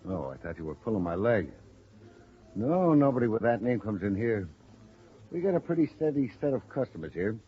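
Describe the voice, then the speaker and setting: Chatty roadhouse bartender, American, folksy, very lively low voice, 1950s radio drama, faintly noisy recording. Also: male voice, normal speed, lo-fi sound. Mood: chatty, easygoing. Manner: folksy, talkative.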